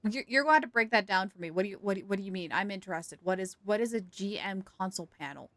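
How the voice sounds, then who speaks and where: Bright-voiced chatty woman streamer. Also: mid-range voice, fast, no room sound. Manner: chatty, reacting aloud. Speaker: game livestreamer. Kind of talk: casual live game commentary.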